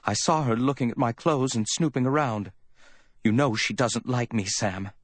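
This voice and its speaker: A man's voice: medium pitch, moderate pace, dry recording, studio quality, voice pushed up, close to an everyday voice. A man voicing a distraught, jealous woman in an audiobook, with a choked, whimpering, pleading delivery. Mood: indignant. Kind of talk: dramatic audiobook character reading.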